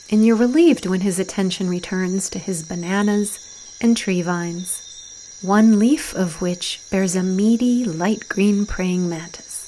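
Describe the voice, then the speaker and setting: Woman, guided meditation narrator, very slow pace, soft breathy phrase endings, soothing, medium pitch. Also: slight background noise, rough recording. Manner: slow, soothing, deliberate with long pauses.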